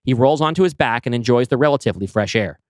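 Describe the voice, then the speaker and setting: A man reading his screenplay aloud, with brisk scene-setting narration. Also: medium pitch, animated, no room sound, clean studio sound. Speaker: writer pitching a screenplay.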